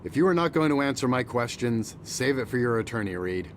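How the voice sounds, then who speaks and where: A man's voice: medium pitch, expressive, brisk, background noise, close to an everyday voice. A man playing a curt, by-the-book senior federal agent in a video game drama. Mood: curt, dismissive. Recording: loud music over the voice.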